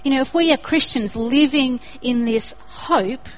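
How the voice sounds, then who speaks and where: A woman's voice: sits high, a little slow, low-quality recording. A young woman with an Australian accent preaching a sermon in an earnest way, building to emphatic repetition.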